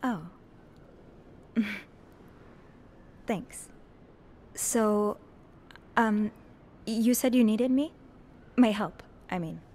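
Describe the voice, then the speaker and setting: An actress playing a friendly, inquisitive young hotel maid in a video game, talking casually with a slightly hesitant, teasing manner. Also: female voice, medium pitch, extremely slow, pauses often, dark tone. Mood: shy, teasing. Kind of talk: natural conversational game acting.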